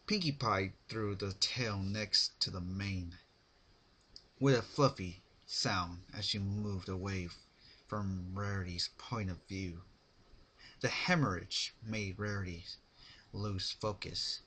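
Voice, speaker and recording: A man narrating a story as a dramatic reading, in deliberate, halting phrases. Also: lowish voice, sharp tone, breathy edge. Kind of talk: dramatic fan fiction reading.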